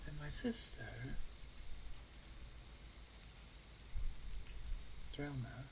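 A woman voicing a reserved, dominant orc huntress in an ASMR roleplay, soft-spoken and measured, often slipping into a whisper. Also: low voice, big pitch swings, noisy background. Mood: thoughtful.

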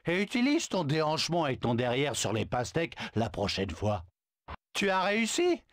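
A man's voice: a little high, huge pitch swings, moderate pace, no echo, studio quality. An actor voicing a boastful, lovestruck male suitor who stammers when flustered. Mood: smitten. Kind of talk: video game dubbing.

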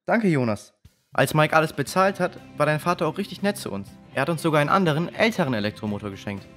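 A man's voice: a little high, lively intonation, fast, fairly bright tone, soft background music. A man playing an honest, contrite boy in a children's audio drama. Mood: curious, open.

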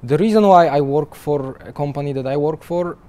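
Young male developer guest, warm mellow voice, easygoing interview talk that trips over itself. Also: on the high side, fairly quick. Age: young adult. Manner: chatty, restarts often with repeated words, laughs lightly. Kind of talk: conversational interview answers. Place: conference interview booth, seated in armchair.

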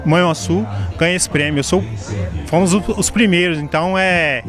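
A man talks about his coffee in a halting, casual way, leaning on filler tags. His voice sits fairly high, and he speaks into a handheld mic at an outdoor country festival. Loud music plays behind him. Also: steady tone. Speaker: award-winning coffee grower interviewed. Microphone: handheld interview mic.

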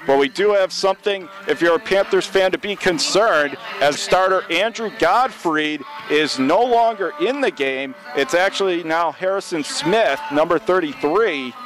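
An American man calling baseball play-by-play for radio from a stadium press box, in a measured, descriptive way with plenty of detail. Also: high-pitched, over music, low-quality recording. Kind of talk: live sports radio play-by-play commentary.

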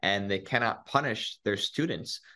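Guy in his 30s answering interview questions on a video call, fairly low voice. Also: moderate pace, bright, forceful tone. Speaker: free speech advocate, interviewee. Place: small indoor office.

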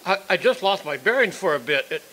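An actor playing a flustered, indignant executioner with a stammering manner in a radio play. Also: male voice, sits high, sing-song, brisk, sharp tone, breathy edge, exaggerated voice, rough recording. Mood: bewildered. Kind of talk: stage radio drama acting.

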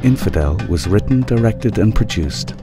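A man's voice: lowish voice, lively intonation, moderate pace. A man reading a scripted closing credits announcement for an audio drama. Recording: loud drum music under voice. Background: loud dramatic drums and music.

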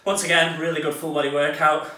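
A young man talks through a workout in a casual, offhand way, his bright voice staying level. He sounds set back from the mic. The small home gym around him adds plenty of echo. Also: fairly high pitch, fast talker. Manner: relaxed, offhand advice with fillers. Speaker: fitness vlogger. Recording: echoey room, voice from far off.

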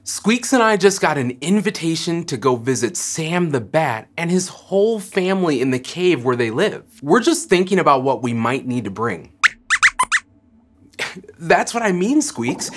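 A man in his thirties hosting a children's science lesson, speaking brightly and encouragingly to the camera and to a puppet sidekick in a studio. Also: fairly high pitch, sing-song, a little slow, a little hiss or hum. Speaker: children's science show host. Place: studio set.

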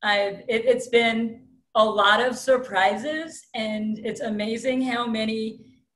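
A middle-aged woman with a thick New York accent talks plainly and bluntly, a self-styled alpha female. Her voice sits a little high and comes through a webcam in a room at home. The video-call sound is noticeably roomy and echoey. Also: steady tone, slow, fairly bright tone, slight background noise. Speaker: high school strength coach, guest. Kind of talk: casual interview talk.

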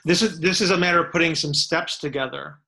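A man's voice: on the high side, fast, vocal fry, pushed and bright, low-quality recording. A man coaching over a video call, explaining step by step, repeating words and checking that the listener follows. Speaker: strength and fitness coach answering questions. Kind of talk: casual expert Q&A explanation. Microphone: webcam on a video call.

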